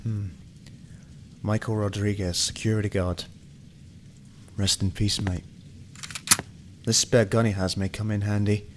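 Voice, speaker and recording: A man's voice: fairly low pitch, level tone, measured pace, pauses often, background noise, lightly acted. A man acting a hot-tempered, obsessive, weary police detective in an amateur radio drama, muttering and swearing. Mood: subdued. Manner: brooding, muttering to himself, swearing. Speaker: voice actor in amateur radio play.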